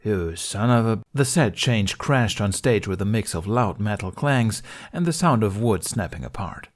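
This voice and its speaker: A man's voice: fairly low pitch, expressive, normal speed. A man reading a scripted story aloud as its narrator. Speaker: fan fiction podcast narrator.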